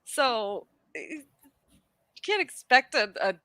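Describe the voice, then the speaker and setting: A woman co-hosting a podcast, chatting casually about TV in a halting, rambling way that often repeats words. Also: a little high, very animated, sharp tone, no echo. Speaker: podcast host. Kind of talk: casual chat about a TV show.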